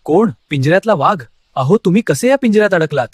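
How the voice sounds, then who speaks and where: A kindly but wary woodcutter played by a man from an animated fable. He sounds husky and slightly high-pitched. Soft music runs behind his words. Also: fast talker, no echo.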